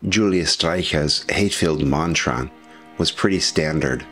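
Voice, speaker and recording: Low-pitched man narrating history over music. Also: unhurried, fairly bright tone. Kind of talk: scripted documentary narration with commentary.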